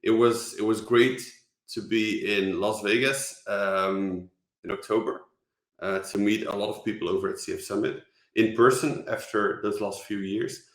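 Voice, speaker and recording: Dutch man with a halting, echoey talk. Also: on the low side, steady tone. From Netherlands. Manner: halting, many fillers and restarts. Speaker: conference speaker. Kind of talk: online conference talk.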